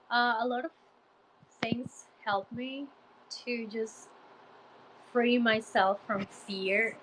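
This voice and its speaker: A woman with a mild Guatemalan Spanish accent talks slowly into her phone, chatting with live-stream viewers. She hesitates often, restarting sentences and filling pauses. A faint ambient hum sits behind her voice. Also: medium pitch, fairly bright tone, rough recording. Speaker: Spanish teacher livestreaming. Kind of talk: casual live-stream chat answering viewers.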